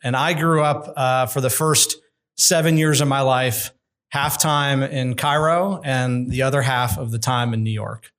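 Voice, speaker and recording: A middle-aged man speaks as a podcast guest, calm and measured, with a candid and thoughtful way of putting things. His voice sits at an ordinary middle pitch for a man. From United States. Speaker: construction executive guest. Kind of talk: conversational interview.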